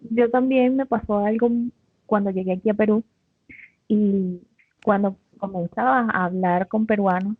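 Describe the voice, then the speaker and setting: A woman's voice: mid-range voice, fairly even intonation, normal speed, dry recording. A woman co-hosting who tells a personal anecdote in a chatty, conversational way. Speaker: co-host. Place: living in Peru. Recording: tinny phone-like call line.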